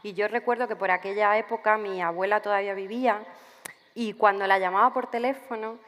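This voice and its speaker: A woman's voice: mid-range voice, fairly even intonation, brisk, fairly bright tone, big-room sound. A woman writer answering interview questions at a literature festival, thinking aloud with frequent restarts. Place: festival venue.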